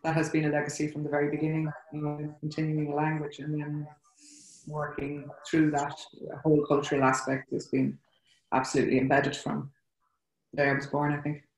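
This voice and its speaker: A woman's voice: sits low, completely flat delivery, brisk, echoey room, rough recording. A woman in her thirties with an Irish accent, a native Irish speaker, speaking reflectively with many fillers as an artist on a webinar panel, recorded over a video call at home. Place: home room.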